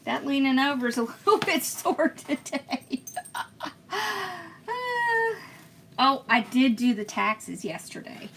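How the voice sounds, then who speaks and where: A chatty woman with a bright, somewhat high voice hosts a craft livestream from a room at home. She talks casually, answers viewer comments and laughs often as she works. A faint hiss of noise sits under her voice. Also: sing-song, slow pace. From born in Pennsylvania, raised in Georgia. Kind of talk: casual live-stream chat while crafting.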